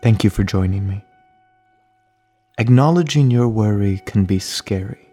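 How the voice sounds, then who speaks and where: A man playing a gentle, caring relaxation guide, leading a guided meditation in a calm, soothing, reassuring manner. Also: lowish voice, measured pace, soft background music.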